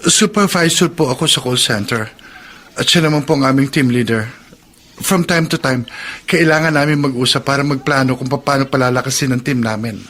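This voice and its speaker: An actor playing an earnest young Filipino boyfriend in a radio drama, pleading his innocence politely in a husky voice. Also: mid-range voice, fairly quick, a bit bright, lightly acted.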